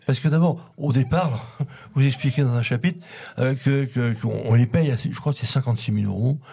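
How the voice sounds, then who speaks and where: A man's voice: mid-range voice, a little fast, vocal fry, mellow, husky. A man hosting a radio interview, talking hesitantly and often repeating words. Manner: hesitant, repeats words, chuckles while reading. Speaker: radio host.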